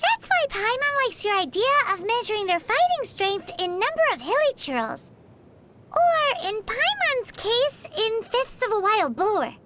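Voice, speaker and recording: A woman's voice: extremely high pitch, big pitch swings, measured pace, very noisy, full character voice. A woman voicing a tiny floating female fairy companion who chats cheekily and talks about herself in the third person. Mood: cheeky. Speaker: sidekick companion. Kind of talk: video game character banter.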